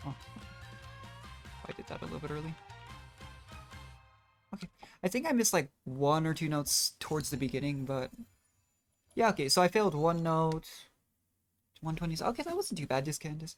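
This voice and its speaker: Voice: mid-range voice, expressive, moderate pace, pauses often. Casual game streamer with music playing behind. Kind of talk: casual gameplay livestream commentary. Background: video game music.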